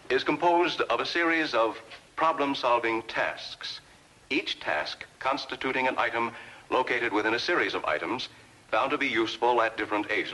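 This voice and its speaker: A man with an American accent reads formal, scripted narration for a 1950s instructional film, measured and precisely enunciated. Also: medium pitch, pushed and bright, rough recording. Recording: vintage 1950s film soundtrack.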